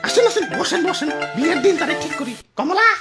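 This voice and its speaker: A man's voice: very high voice, animated, quick pace, over loud music. A man playing a haughty, scornful rich orange fruit father in a comic cartoon, with a Bangladeshi accent and a pompous manner. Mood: fawning. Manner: pompous, scolding, sneering. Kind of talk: exaggerated comic cartoon acting.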